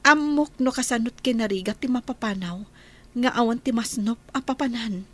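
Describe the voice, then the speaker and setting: A woman's voice: on the high side, normal speed, fairly bright tone. A woman playing a concerned, respectful household helper in a radio drama. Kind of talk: Ilocano radio drama acting.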